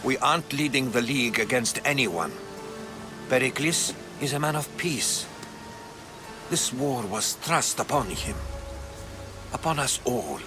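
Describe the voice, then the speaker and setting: An actor playing an earnest, loyal Greek advisor in a video game, speaking in a measured, insistent way. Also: male voice, mid-range voice, animated, music in the background, lightly acted. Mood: grave, urgent. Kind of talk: video game cutscene acting.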